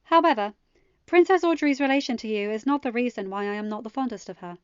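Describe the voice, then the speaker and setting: A woman's voice: on the high side, fast, clear, resonant tone, dry recording, lo-fi sound, lightly acted. A woman voicing an outspoken young noblewoman in a storybook reading, composed, formal and pointed. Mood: pointed, assertive.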